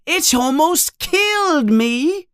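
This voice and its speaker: A woman's voice: high voice, expressive, slow pace, no room sound, studio quality, pitched above the performer's own voice. A woman voicing a young boy wizard who speaks earnestly and warily. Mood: wary. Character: young boy wizard, brave but wary.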